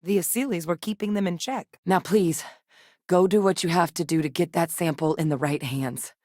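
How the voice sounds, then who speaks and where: A woman's voice: on the low side, moderate pace, darkish tone, no echo, studio quality, close to an everyday voice. An actress voicing a guarded, driven woman military scientist in a space video game, speaking in a firm, earnest, matter-of-fact way. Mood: firm, urgent.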